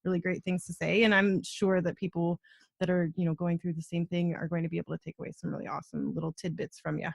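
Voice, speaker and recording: A woman's voice: fairly low pitch, level tone, fast, gravelly, no room sound. A woman hosting a podcast interview in a casual, effusive, informal way, often saying "like" and "super". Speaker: podcast host interviewing a guest.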